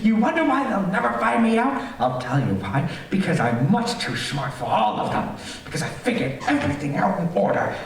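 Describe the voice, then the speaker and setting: Vain gleeful killer in a radio thriller, really animated, relishes every word, far from the mic in an echoey theater. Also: male voice, high-pitched. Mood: gloating, gleeful. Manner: theatrical, gleeful cackling, drawn-out relishing delivery. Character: gloating, vain male serial killer showing off his lair. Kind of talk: old-time radio thriller acting, live stage performance. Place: theater, live-streamed stage performance. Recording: echoey theater stage, distant, faint noise.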